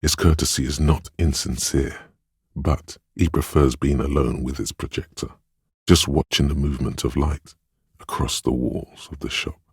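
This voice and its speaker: A very deep, gravelly inner voice. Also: male voice, fairly even intonation, normal speed, no room sound, studio quality, exaggerated voice. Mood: tender, knowing. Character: inner voice of empathy, tender, perceptive, knowing adult male. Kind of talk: scripted game narration, second-person commentary.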